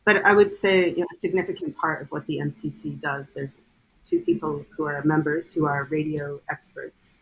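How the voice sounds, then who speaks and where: A woman guest on a casual podcast, explaining things in a measured way. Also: low-pitched, lively intonation, creaky, breathy edge, poor audio. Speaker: guest, hardware audit committee member.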